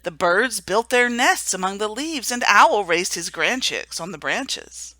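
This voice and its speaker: A woman reading a children's storybook aloud for a remembrance service, gentle and hushed at the sad moments. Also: medium pitch, animated, unhurried, no room sound. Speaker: story reader.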